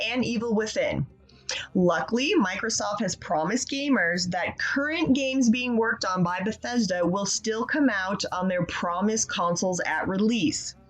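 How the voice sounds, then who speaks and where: A woman's voice: mid-range voice, low-quality recording. A woman presenting scripted video game news as a regular segment contributor on a casual show.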